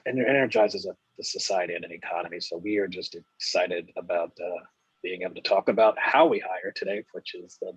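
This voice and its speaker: A man introducing himself earnestly on a webinar panel, with halting fillers, heard over video call audio. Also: low-pitched, slightly rough, dry recording, rough recording. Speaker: panelist, bakery leader.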